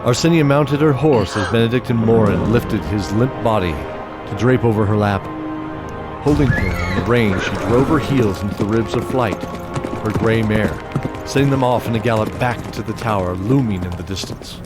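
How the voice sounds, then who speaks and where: A man narrating an audio drama in a measured, descriptive storytelling manner. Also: lowish voice. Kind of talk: scripted narration. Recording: music bed under voice.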